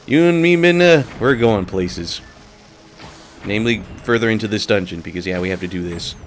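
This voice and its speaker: Male let's play host, slightly sick and nasal, rambling casual commentary, lowish voice, faint music under it. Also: animated, normal speed. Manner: casual, rambling, laughs often. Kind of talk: gameplay commentary.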